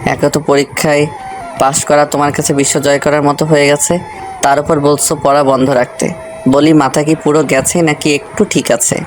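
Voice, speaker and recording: Calm, even-voiced strict male teacher, played by a man. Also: a little high, moderate pace, soft background music, lightly acted. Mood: stern, sarcastic. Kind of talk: audio love story drama.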